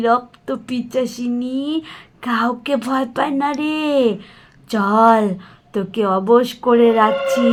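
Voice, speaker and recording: A woman voicing a gloating, threatening bloodsucking female demon in a puppet cartoon, drawing out her taunts. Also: fairly high pitch. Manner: menacing, drawn-out taunting. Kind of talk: Bengali puppet cartoon voice acting.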